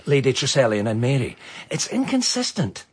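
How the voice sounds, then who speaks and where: A man's voice: mid-range voice, huge pitch swings, normal speed, breathy edge. An earnest former policeman. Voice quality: earnest and persistent. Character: earnest male ex-policeman turned amateur sleuth. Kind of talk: radio drama acting.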